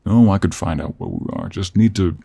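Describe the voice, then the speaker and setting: A man playing a gruff, teasing, weary 49-year-old cowboy monster hunter in an intimate audio roleplay, with a husky Western American drawl. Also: low-pitched, dark tone, exaggerated voice. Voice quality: gravelly, husky. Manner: slow, drawling, with heavy sighs and pauses. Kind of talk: intimate audio roleplay acting, addressed to the listener.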